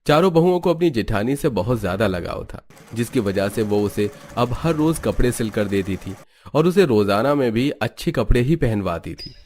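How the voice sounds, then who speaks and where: A man's voice: medium pitch, lively intonation, moderate pace, a little mellow, slight background noise. A man reading scripted narration for a story.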